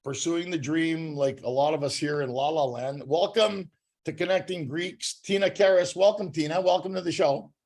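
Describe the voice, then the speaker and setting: A Canadian-accented man co-hosts a casual podcast in a fairly high voice. He cracks jokes and rambles, restarting his sentences as he goes. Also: moderate pace, a little mellow. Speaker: podcast co-host. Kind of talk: casual joking chat.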